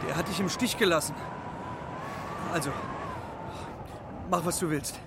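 Hohe, erschöpft nörgelnde Taube. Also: male voice, on the high side, a little slow, gravelly, very noisy. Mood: exhausted, grumbling. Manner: complaining, pleading. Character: weary, grumbling male short-distance pigeon. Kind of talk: children's radio play acting. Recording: loud music behind the voice.

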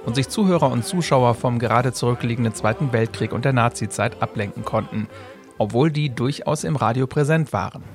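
A man's voice: medium pitch, brisk, soft background music. A man reading scripted narration as a reporter in a radio feature.

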